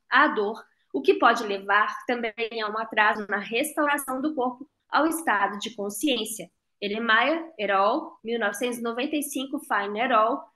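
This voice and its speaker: Woman with a higher voice, measured reader of a lecture, heard over a video call. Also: fairly bright tone. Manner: careful, measured reading with pauses over figures and citations. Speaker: guest reader and co-chair. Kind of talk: reading a translated lecture aloud.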